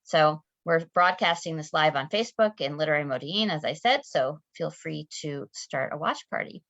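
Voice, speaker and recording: A woman's voice: deep voice, lively intonation, normal speed, a bit bright, no echo. A woman hosting an online author event on a video call, speaking in a friendly way with many fillers. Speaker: event host. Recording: dull, dry video call audio.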